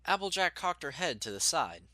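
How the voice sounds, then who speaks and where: Male narrator, bright voice, lively intonation, expressive scripted storytelling, dry close recording. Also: fairly high pitch, normal speed, no echo.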